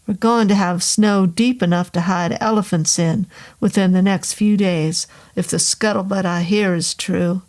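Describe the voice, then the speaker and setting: A woman's voice: medium pitch, steady tone, unhurried, few pauses, darkish tone, natural voice. A woman voicing a congenial, joking caretaker man of sixty who talks in an easy, casual way. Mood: easygoing. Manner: easygoing, joking.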